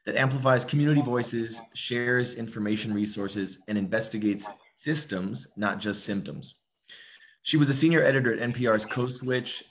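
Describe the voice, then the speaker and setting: A man with a smoky voice, a club member, reading out a formal introduction of the guest speaker. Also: on the low side.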